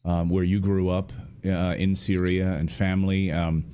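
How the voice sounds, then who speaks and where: A middle-aged male show host with a very low, mellow voice. He asks questions calmly and sympathetically, staying conversational throughout. He speaks close to a studio mic. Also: a little slow, clear, resonant tone. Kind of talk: remote interview hosting. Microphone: studio mic, close framing.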